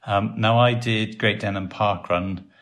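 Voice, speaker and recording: Deep-voiced Welsh man, rambling chat. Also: unhurried. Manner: relaxed and rambling, with many fillers. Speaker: podcast host and site developer. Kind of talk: casual podcast chat.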